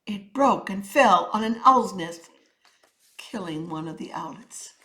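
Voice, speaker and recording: A woman's voice: medium pitch, expressive, unhurried, sharp tone, rough recording. A woman narrating a children's story as a storyteller, with a theatrical, emphatic delivery. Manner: theatrical, emphatic with rhythmic sound words.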